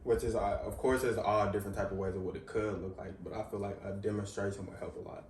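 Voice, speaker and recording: Low, level young man host, probing. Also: fairly even intonation, quick pace. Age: young adult. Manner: probing, encouraging follow-up questions. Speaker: host asking questions. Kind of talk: casual interview. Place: living room, on a couch. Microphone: far camera. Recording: roomy sound from far off, faint hiss.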